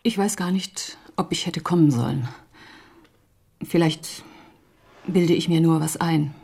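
An actress playing a grieving, doubting woman in a radio drama, speaking haltingly with a breathy, husky voice. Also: sits low, full character voice. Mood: anxious, grieving. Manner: hesitant, halting, hushed. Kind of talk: naturalistic radio drama acting.

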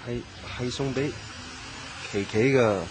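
A man's voice: medium pitch, measured pace, background noise, close to an everyday voice. A man acting as a bashful, nervous young man in a radio drama, hesitant and stammering, with a Hong Kong Cantonese accent.

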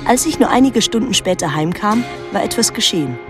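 An actress playing a wary, withdrawn teenage daughter who narrates her island story in a measured, introspective radio drama style. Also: medium pitch, animated, over music, close to an everyday voice. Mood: reflective.

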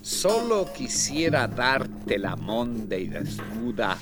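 An actor reciting ornate old prose and verse in a solemn, declamatory literary style for a radio drama. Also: male voice, medium pitch, sing-song, slow pace, music in the background. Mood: formal. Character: Spanish-language male reciter of ornate old verse and prologue.

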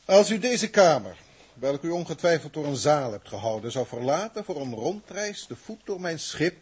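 Pompous husky alien commander. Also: male voice, on the high side, big pitch swings. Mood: imperious. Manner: formal, pompous, deliberate. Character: lofty alien commander of a galactic starship, male. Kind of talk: 1960s Dutch science fiction radio play acting.

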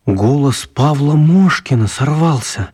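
A man narrating a children's radio play as an expressive storyteller. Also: fairly high pitch, unhurried, husky. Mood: storytelling.

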